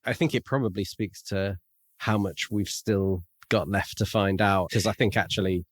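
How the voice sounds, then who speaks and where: A man's voice: lowish voice, fairly even intonation, normal speed, no echo. A man hosting a book-club podcast in a conversational presenting style, close to the microphone. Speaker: podcast host. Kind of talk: podcast introduction and casual book discussion.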